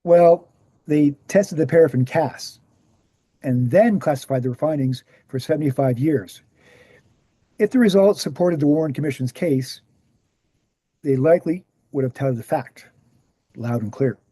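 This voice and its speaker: A man's voice: on the high side, a little slow, pauses often, dark tone, breathy edge, dry recording. A man playing a jovial, assured older researcher who can turn hard, in a screenplay table read. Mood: assured explaining. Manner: assured, explanatory.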